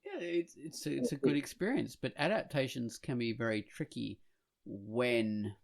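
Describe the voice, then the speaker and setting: A man chatting casually as a podcast host, rambling and halting as he goes, growing animated when enthused. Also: mid-range voice, vocal fry. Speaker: podcast host and comic artist.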